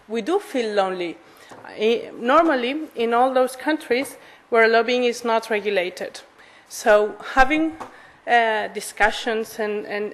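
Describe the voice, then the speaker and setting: Higher-pitched Spanish-accented woman giving formal speech. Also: fairly bright tone, poor audio. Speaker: association president, lobbyist speaker. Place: conference in a parliament hall.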